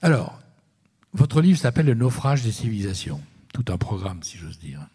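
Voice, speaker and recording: A man's voice: fairly low pitch, sing-song, normal speed, mellow, husky. A man interviewing an author onstage, often finishing his guest's sentences. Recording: long reverb of a hall.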